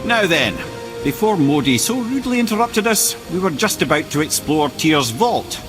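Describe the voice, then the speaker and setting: A man playing a talkative, quick-witted older travelling companion in a video game, with an expressive, folksy way of talking. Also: on the high side, over music. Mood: jaunty, coaxing.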